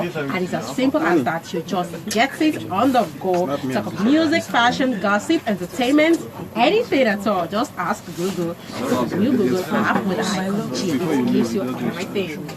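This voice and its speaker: A woman radio host reading paid announcements on air in a brisk, upbeat manner. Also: mid-range voice, big pitch swings, a crowd in the background. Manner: brisk, upbeat promo delivery.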